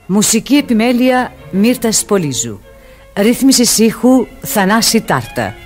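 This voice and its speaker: A man's voice: high voice, sing-song. A man reading scripted credits formally as a radio announcer. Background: loud music under the voice.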